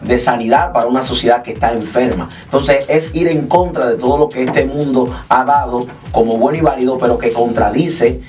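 A man's voice: mid-range voice, a little fast, hardly any pauses, darkish tone, recorded from a distance. Earnest Cuban man on studio interview. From Cuba. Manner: earnest, explanatory, sings gospel lines. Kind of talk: radio interview. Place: small radio studio. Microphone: studio mic with headphones.

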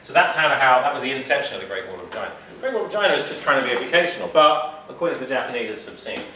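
English guy in his 40s rambling through a gallery talk, bright voice, echoey room heard from far back. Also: medium pitch, sing-song, very fast, a little hiss or hum. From England. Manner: rambling, self-deprecating, jokey asides. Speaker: artist giving a gallery talk. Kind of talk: informal public talk with slides. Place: small dim gallery room. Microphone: far camera, no mic. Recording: roomy echo from far off.

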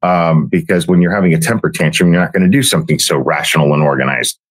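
A man livestreaming a casual monologue in a halting, hesitant, rambling way. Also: rumbling low, steady tone, no echo. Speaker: livestreamer, online creator.

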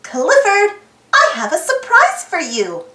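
A woman acts out a young storybook girl, devoted to her puppy and cheerful. The voice is high and swings widely in pitch, with careful, expressive delivery in a roomy echo. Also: distant mic, heavily acted. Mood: excited. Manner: expressive, deliberate, exclaiming. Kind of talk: children's storybook read-aloud in first person.

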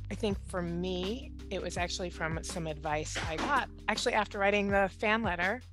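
A woman's voice: medium pitch, normal speed, over music. A woman in her thirties, a writer being interviewed over a video call on a headset mic at home, talking candidly and laughing at herself. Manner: candid, self-deprecating, laughs often. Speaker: guest writer. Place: home room with bookshelves.

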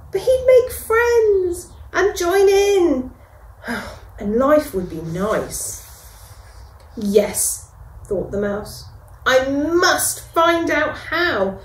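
A middle-aged woman, a reception class teacher, reading a picture book aloud to children with gentle, expressive delivery and dramatic pauses. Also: high voice. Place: school classroom, indoors. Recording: echoey classroom.

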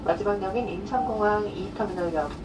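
A woman reading a scripted arrival announcement as a train announcer, heard over carriage speakers. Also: fairly quick. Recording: noisy train PA from a distance. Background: train rumble.

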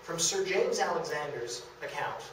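Male history lecturer, slightly high voice, very echoey room, picked up from a distance. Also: sing-song, moderate pace, background noise. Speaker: lecturer presenting a history talk. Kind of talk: illustrated public lecture. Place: indoor meeting room of a historic house. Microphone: camera across the room, far from speaker.

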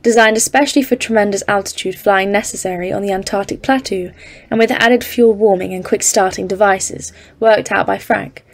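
A woman playing an earnest geologist and expedition leader in an audio drama, speaking in a measured, formal way. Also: medium pitch, lightly acted. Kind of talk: audio drama narration and dialogue.

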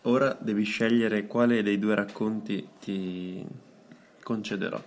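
A man in his twenties chatting casually as a radio co-host, in a halting, reflective way. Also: lowish voice, fairly even intonation. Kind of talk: casual chat.

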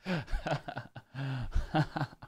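A young man, hoarse from shouting, chatting casually with his live-stream viewers and greeting them by name. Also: mid-range voice, very slow, background noise. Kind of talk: casual live-stream chat while gaming. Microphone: studio mic on arm, headphones.

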